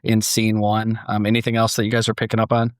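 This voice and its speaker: A man's voice: fairly low pitch, completely flat delivery, fast talker, smooth, no room sound, clean studio sound. A man hosting a podcast, talking in a casual, conversational way and reading a story aloud to a panel. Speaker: podcast host.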